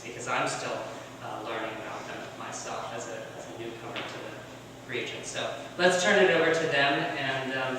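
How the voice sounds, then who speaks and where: A man addresses an exhibition crowd as guest curator, his delivery flat and hesitant with frequent ums. He is caught from a distance in a very reverberant gallery, with a steady wash of room noise behind him. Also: medium pitch. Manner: halting, many ums and restarts. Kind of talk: speaking at an exhibition opening. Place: museum gallery event. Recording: echoey room, far from mic, background noise.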